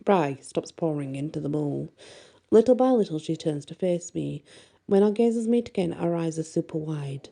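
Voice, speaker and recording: A woman reading a first-person bedtime story expressively, with a soft voice. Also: sits low, expressive, normal speed, gravelly. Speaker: audiobook reader and storyteller.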